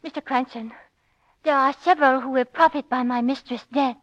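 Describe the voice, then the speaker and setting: A woman plays a loyal foreign companion to a rich mistress in an old radio drama. Her high voice is earnest and formal, with articles dropped. The sound is dry and muffled. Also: steady tone, normal speed, no room sound, natural voice. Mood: anxious, pleading. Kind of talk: 1940s radio drama acting. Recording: dry, dull vintage 1940s radio recording.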